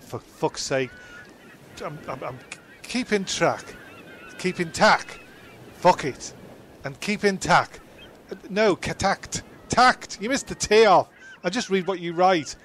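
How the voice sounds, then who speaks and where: A man's voice: on the high side, animated, measured pace, fairly bright tone, a little hiss or hum. A man playing a grumpy, vain, foul-mouthed castaway ex-pilot in a comedy audio show, ranting and stumbling over lines. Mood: aggrieved ranting. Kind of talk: comedy audio drama with ad-libs.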